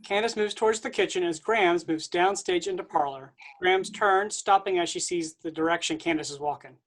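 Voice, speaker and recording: A woman reading stage directions aloud in an even, matter-of-fact way. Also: fairly low pitch, moderate pace.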